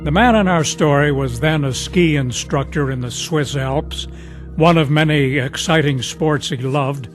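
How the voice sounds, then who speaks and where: A man announces and narrates a scripted radio drama program. His voice sits a little high, with faint music along with it. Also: unhurried.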